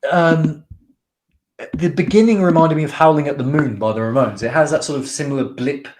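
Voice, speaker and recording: A man's voice: on the high side, brisk, darkish tone. A man co-hosting a podcast, chatting casually about songs in a rambling, hesitant and jokey way. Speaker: podcast co-host reviewing songs. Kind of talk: casual music reaction chat.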